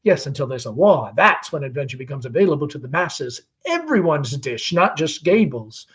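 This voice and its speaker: A man's voice: fairly high pitch, sing-song, normal speed. A man playing a restless, dreamy and discontented young warehouse worker in a stage play read aloud, with impassioned, brooding speeches. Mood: impassioned, bitter.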